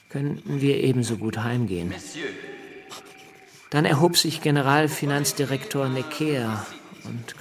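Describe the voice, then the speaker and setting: An actor playing an earnest, dryly scornful revolutionary deputy reading his letters home in a measured radio drama manner. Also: medium pitch, fairly bright tone, slight background noise.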